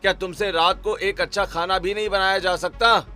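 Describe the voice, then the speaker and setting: A man's voice: sits high, steady tone, normal speed, pushed and bright, soft background music, voice pushed up. A man voicing a baffled, complaining young husband in a cartoon story, questioning and reproachful. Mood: scolding. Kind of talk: Hindi cartoon story dialogue.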